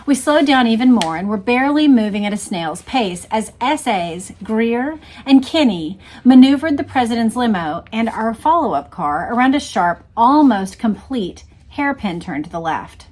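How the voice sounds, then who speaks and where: A woman's voice: fairly high pitch, animated, moderate pace, few pauses, darkish tone. A woman reading a book aloud and breaking off to explain and point things out to the viewer. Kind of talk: read-aloud narration with explanatory commentary.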